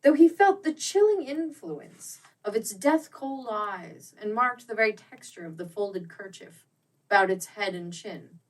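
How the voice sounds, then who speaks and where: A woman narrating a classic ghost story as an audiobook, with theatrical, expressive and deliberate phrasing. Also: mid-range voice, distant mic, rough recording. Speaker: audiobook reader.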